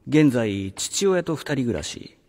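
An actor playing a condescending middle-aged male vice-principal in a radio drama, halting and officious, in a remembered-voice effect. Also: medium pitch, big pitch swings, a little mellow. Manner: halting, officious, with drawled hesitations. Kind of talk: Japanese radio drama acting. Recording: long reverb, music underneath.

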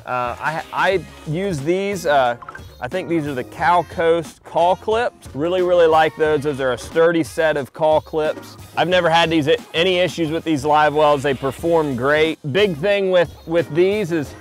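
A man's voice: fairly high pitch, measured pace. Guy on his boat outside, laid back and rambly, lots of uhs, faint music behind. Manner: relaxed, chatty, many fillers and restarts. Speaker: pro angler giving a boat tour. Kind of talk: casual walkthrough vlog. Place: outdoors on a boat on the water.

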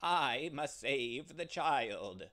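A man's voice: fairly high pitch, lively intonation, fairly bright tone, voice pushed up. A man voicing a brave, determined male ram who speaks earnestly and urgently. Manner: earnest, urgent, slow and emphatic.

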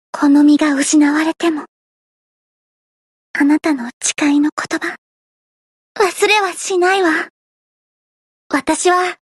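A woman voicing a teenage gothic idol girl in a Japanese game, grandiose and sweetly shy, switching between pompous declarations and soft plain talk. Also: extremely high pitch, no echo, clean studio sound. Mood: tender, resolute.

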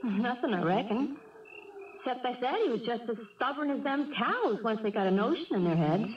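A woman's voice: on the high side, animated, natural voice. A woman acting a sweet, hesitant small-town country girl with a Southern US accent in a 1970s radio drama. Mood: folksy storytelling. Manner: folksy, halting. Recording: dull, noisy 1970s radio recording.

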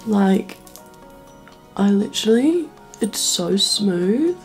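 A young woman in her twenties with a New Zealand accent, chatting casually to camera in a vlog, her voice croaky from a cold. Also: mid-range voice, expressive, drawn-out words, faint music behind. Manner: chatty, upbeat, lots of "like" and "um". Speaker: vlogger. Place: small bedroom and kitchen indoors.

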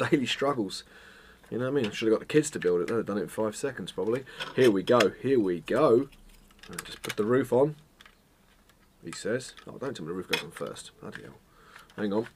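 A man, chatting casually to camera as a photography vlogger, self-mocking and full of jokey asides, a little hoarse from a cold. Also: lowish voice, noisy background. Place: home studio room, indoors.

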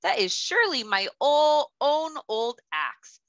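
A woman's voice: high voice, very animated, slow, fairly bright tone, no room sound, dull audio, voice pushed up, full character voice. A woman voicing an honest, humble woodsman in a children's storybook, earnest and plain-spoken. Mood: joyful. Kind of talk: children's storybook read-aloud.

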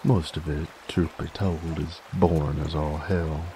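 A man's voice: rumbling low, little pitch movement, unhurried, background noise. A man voicing a laid-back, teasing, dominant werewolf bounty hunter in roleplay ASMR, with a folksy, wry American Southern or Western drawl.

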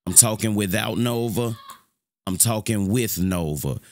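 A young man with a deep voice giving a loose, rambling, slangy tutorial on his streaming setup from his home room. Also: measured pace, darkish tone. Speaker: streamer showing mic settings. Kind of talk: casual screen-recorded tutorial.

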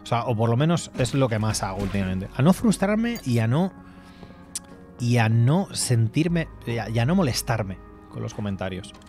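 A man's voice: mid-range voice, sing-song, moderate pace, a little mellow. A man in his thirties from Spain, chatting loosely and swearing casually while he plays a game on stream, heard through a headset mic at home. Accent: Spanish from Spain. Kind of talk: casual gameplay commentary. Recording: faint game music behind.

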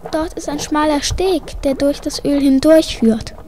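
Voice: high-pitched, steady tone, unhurried, a little mellow, slight background noise. A young performer playing a brave, watchful space traveller in an amateur children's audio drama.

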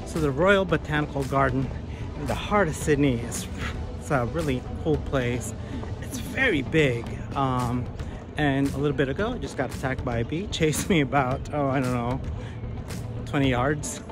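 A man's voice: medium pitch, lively intonation, moderate pace, loud music behind the voice. A man in his thirties from the United States, chatting casually while walking around a city and filming himself on a phone at arm's length. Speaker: travel vlogger. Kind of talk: casual walking-tour vlog. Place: outdoors on city streets, parks and in shops. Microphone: phone held at arm's length.

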